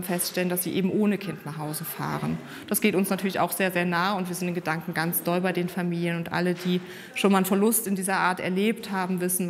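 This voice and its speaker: A woman who supports new parents, talking gently and encouragingly with a new mother on a hospital maternity ward. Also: fairly low pitch, a little hiss or hum. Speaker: newborn family support worker. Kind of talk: bedside conversation and interview.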